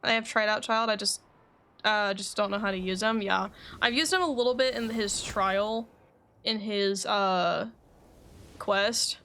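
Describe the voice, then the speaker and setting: A woman's voice: mid-range voice, measured pace, a bit bright. A woman giving casual live commentary as she streams a game. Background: game music.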